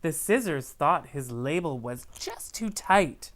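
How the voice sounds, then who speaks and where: Slow, swooping woman storyteller for children. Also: low voice, very animated. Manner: theatrical, addresses young viewers directly. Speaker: storyteller reading a picture book aloud to children. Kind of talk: expressive children's read-aloud.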